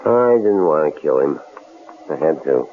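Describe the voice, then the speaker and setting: An actor playing a menacing, uncouth bruiser in a radio drama, leering and needling with gruff familiarity. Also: male voice, a bass voice, big pitch swings, a little slow, heavily acted. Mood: grim, matter-of-fact. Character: menacing, uncouth bruiser named Jake, a possible killer. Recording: lo-fi old radio recording, faint hiss.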